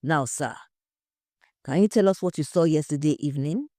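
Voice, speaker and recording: A woman's voice: low-pitched, moderate pace, dark tone, dry recording, clean studio sound, natural voice. A woman voicing an earnest female television crime reporter, formally presenting in an audio story.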